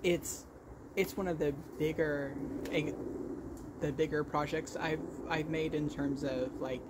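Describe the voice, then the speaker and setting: A man with a fairly high voice talks slowly and casually to his viewers. He stutters, repeating first sounds and restarting phrases in a halting, self-correcting way. There is noticeable noise behind his voice. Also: steady tone. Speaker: vlog host showing crochet work. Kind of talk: casual podcast-style chat.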